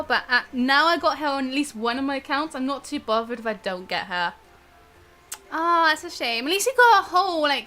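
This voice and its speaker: A woman chatting casually and bubbly as she hosts a live game stream, quick to giggle. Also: high-pitched, pushed and bright. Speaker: live stream gamer and host.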